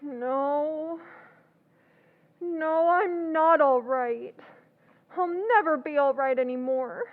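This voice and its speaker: A woman's voice: high-pitched, very slow, long pauses. A woman playing a fragile young sister in an old-time radio drama, tearful and pleading while she cries. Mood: distraught. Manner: tearful, pleading, self-reproaching. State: crying. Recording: tinny phone-like lo-fi sound.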